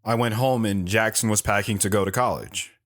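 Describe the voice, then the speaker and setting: A man's voice: lowish voice, fairly quick, fairly bright tone. A man narrating his own past as a scripted drama, telling it plainly while looking back.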